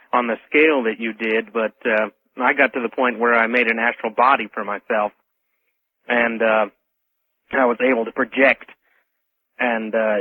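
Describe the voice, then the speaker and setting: Halting male caller, tinny phone. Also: mid-range voice. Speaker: radio show caller. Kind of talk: casual, halting personal testimony. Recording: tinny narrow phone line.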